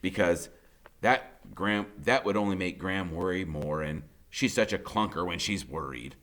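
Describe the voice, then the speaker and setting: A man's voice: low voice, moderate pace, a bit bright. A man narrating a first-person story as an audiobook reader, conversational, wry and dramatic in his delivery.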